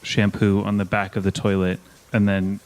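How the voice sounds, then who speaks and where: Lowish-voiced man playing a tabletop game. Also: completely flat delivery, normal speed. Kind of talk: casual improvised game narration.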